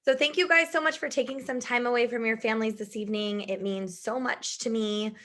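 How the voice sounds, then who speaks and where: A woman in her thirties giving a chatty video call presentation from home, her voice strained from shouting, laughing often. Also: a little high, steady tone, brisk. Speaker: business presenter.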